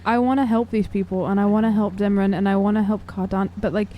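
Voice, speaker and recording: A woman's voice: medium pitch, fairly even intonation, fast talker, muffled recording, slight background noise. A woman talking as a player in a tabletop game, earnest and emphatic in a casual discussion.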